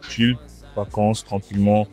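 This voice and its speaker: Chill young guy talkin slang over food, music playin low behind him. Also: level tone, a little slow, a little mellow. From France. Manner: laid-back, slangy, repeats himself. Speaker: rapper guest. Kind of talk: casual interview chat. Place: restaurant.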